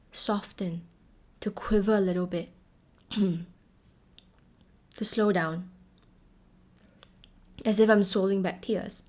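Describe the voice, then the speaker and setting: Young woman, Singaporean English accent, podcast host, clear encouraging delivery, mid-pitched voice. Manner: clear, encouraging, shifts between firm and gentle tones. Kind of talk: solo podcast talk.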